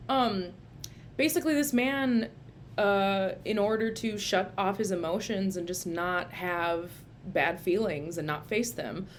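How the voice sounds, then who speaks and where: A woman's voice: medium pitch, a little hiss or hum. A woman with a Minnesota accent, a therapist talking casually and informally in a home video. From Minnesota, USA. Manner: chatty and informal. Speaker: licensed social worker and therapist. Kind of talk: casual video book review. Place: home room used for telehealth sessions.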